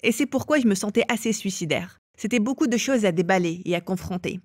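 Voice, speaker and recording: A woman's voice: mid-range voice, expressive, brisk, no echo, studio quality. A woman from England telling her own life story as an interview guest. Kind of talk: personal testimony in an interview.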